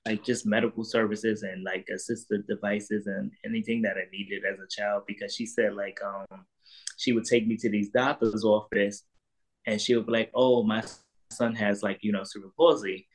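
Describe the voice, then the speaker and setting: Animated New man telling stories. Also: on the low side, normal speed, poor audio. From Harlem, New York. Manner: animated, laughs through stories. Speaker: guest. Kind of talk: casual storytelling.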